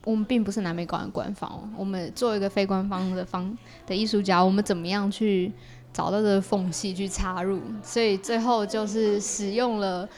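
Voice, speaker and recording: A woman speaks with a Taiwanese Mandarin accent in a medium-pitched voice. She sounds like a guest artist in a casual conversation, explaining things step by step. Her phrasing is halting, and she often rewords as she goes. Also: steady tone, a little mellow. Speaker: podcast guest, artist and creator of an audio drama.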